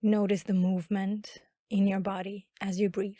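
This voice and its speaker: A woman guiding a body scan meditation in a calm, instructional manner with long pauses. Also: medium pitch, dry recording. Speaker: meditation guide.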